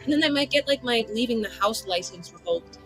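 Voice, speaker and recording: A woman with a fairly high, animated voice chats as a player in a tabletop game. She is casual and tentative, sprinkling in "like", with faint music behind. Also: brisk, poor audio. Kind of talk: casual chat.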